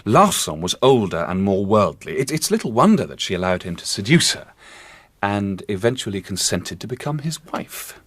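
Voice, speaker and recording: A man's voice: lowish voice, sing-song, normal speed, fairly bright tone, slightly rough, no room sound, heavily acted. An actor playing a confident amateur detective in a radio drama, dramatic and eloquent as he tells the tale. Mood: assured. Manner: dramatic, eloquent, assured storyteller. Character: theatrical, confident amateur detective revealing a mystery. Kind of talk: period radio drama acting.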